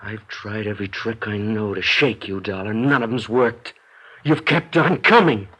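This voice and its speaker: An actor playing a desperate, cornered male embezzler in a 1950s radio drama, low and menacing, weary. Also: moderate pace, fairly bright tone, husky. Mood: weary threat. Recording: vintage radio transcription.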